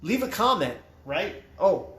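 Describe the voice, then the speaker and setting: A man's voice: high voice, big pitch swings, measured pace, pushed and bright. A man hosting a casual livestream podcast, chatty and loose, swearing casually. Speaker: host. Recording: echoey room with faint noise.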